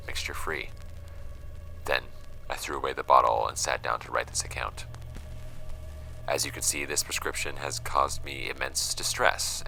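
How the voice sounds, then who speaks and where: Man playing a very deep, gravelly, weary investigator. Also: pushed and bright, slight background noise, exaggerated voice, poor audio. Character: weary, wry young male amateur investigator recording case files. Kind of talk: audio drama monologue, reading case files aloud.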